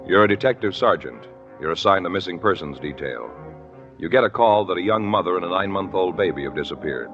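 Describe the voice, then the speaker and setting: A man's voice: low voice, lively intonation, fast talker. A man narrating a 1950s radio crime drama in a grave, clipped voice. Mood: solemn. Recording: dull old 1950s radio recording with music.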